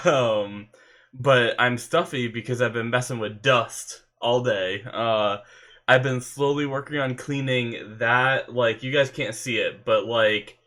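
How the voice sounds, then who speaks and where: A man's voice: mid-range voice, slow pace. A young man with a stuffy nose, chatting casually to his webcam from his bedroom and rambling with lots of fillers as he hosts an anime reaction. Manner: rambling, full of fillers and restarts, excitable. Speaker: anime reaction channel host. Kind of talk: casual rambling chat to camera. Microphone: webcam setup at a desk.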